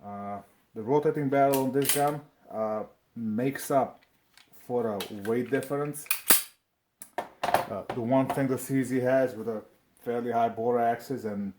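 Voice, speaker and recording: A man from Slovakia with a Slovak accent presents a casual, unscripted review, speaking haltingly and often repeating his words. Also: medium pitch, fairly even intonation, a little mellow, a little hiss or hum. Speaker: video presenter reviewing firearms.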